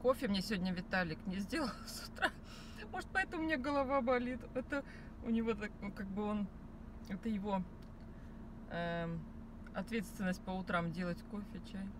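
A woman's voice: mid-range voice, a little fast. A woman in her thirties chatting casually to camera from a parked car, rambling with many fillers and restarts. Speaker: vlogger. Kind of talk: casual chat. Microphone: phone camera on the dashboard. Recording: car with noise behind.

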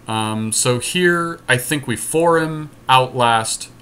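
Bright-voiced man thinking aloud over a game. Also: medium pitch, takes it slowly. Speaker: podcast host playing a card game. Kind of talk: casual game commentary. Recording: clear background noise.

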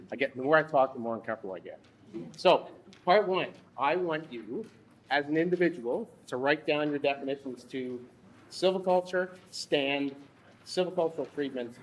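A man in his thirties leading a workshop from a lectern in a conference room, speaking haltingly with frequent restarts. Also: mid-range voice, husky, rough recording. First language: English. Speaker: workshop instructor. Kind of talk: workshop presentation.

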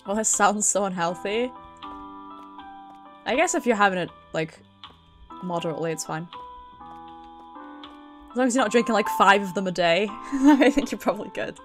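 A woman's voice: medium pitch, moderate pace, long pauses, music in the background. A British woman, a streamer giving chatty, giggly commentary while playing a video game in a casual livestream.

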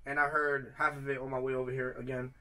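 A young man from Harlem hosting an interview, excitable and repeating himself, laughing loudly. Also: mid-range voice, fast talker, rough recording. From Harlem, New York. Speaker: interviewer host. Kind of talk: casual interview chat. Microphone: handheld mic.

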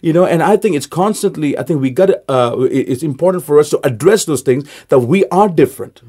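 A man's voice: fairly high pitch, lively intonation, brisk, mellow, breathy edge. A man who is a pastor, speaking emphatically in a studio interview and asking rhetorical questions. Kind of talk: conversational interview.

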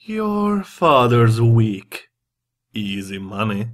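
An actor playing a callous drug dealer in an audio drama, mocking and cruel, with a drawling delivery. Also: male voice, mid-range voice, very animated, extremely slow. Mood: smug, mocking. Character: sneering, callous drug dealer taunting a boy. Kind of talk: audio drama villain acting.

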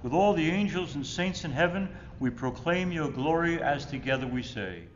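Higher-voiced older priest in an echoey church. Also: male voice, expressive, faint music behind. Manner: solemn, measured phrasing. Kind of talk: liturgical prayer recitation. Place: indoors in a church, at the altar. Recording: echoey church reverb, muffled, rumbling noise.

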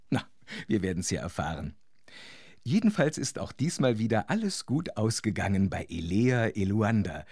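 An actor as a chatty, wry storyteller narrating a children's audio drama. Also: male voice, lowish voice, gravelly, a little mellow, husky, no echo, studio quality, full character voice. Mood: musing, playful.

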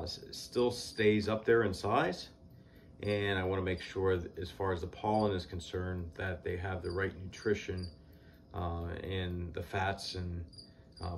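Deep-voiced man, unhurried beekeeping talk. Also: a little hiss or hum. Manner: unhurried, many "uh" pauses, plain practical tone. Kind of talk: casual how-to talk. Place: indoors, small shed stacked with hive boxes.

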